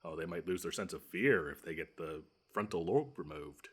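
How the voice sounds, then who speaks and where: A man plays a monster who lurks under a child's bed, in a deep, husky voice. He is grumpy and pompous, choosing fussy words and stretching out his threats. The delivery is a scripted audio roleplay with dry sarcasm. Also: lively intonation. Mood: dry, scheming. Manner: pompous, wordy, drawn-out menace with dry sarcasm.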